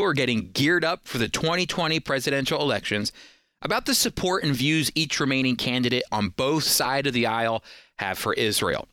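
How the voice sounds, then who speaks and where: A man's voice: medium pitch, bright, forceful tone, dry recording, clean studio sound. An American man hosting a radio program, speaking in an earnest, emphatic, conversational teaching style. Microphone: close studio microphone.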